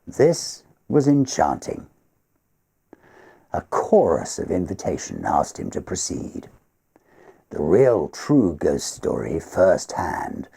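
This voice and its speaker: A man with a husky, gravelly voice reads a literary ghost story aloud in a theatrical, measured way. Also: rumbling low. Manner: theatrical, measured, expressive phrasing. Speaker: story reader.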